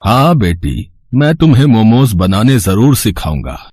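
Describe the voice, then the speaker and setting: A man voicing a kindly old momo seller in an animated moral story, speaking in a gentle, fatherly way. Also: lowish voice, measured pace, no echo, studio quality. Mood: consoling, tender.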